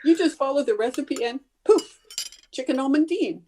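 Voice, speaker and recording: A woman's voice: sits high, animated, moderate pace, noisy background. A woman playing a confident, scatterbrained housewife in breezy old-time radio comedy style, recorded at home over a video call.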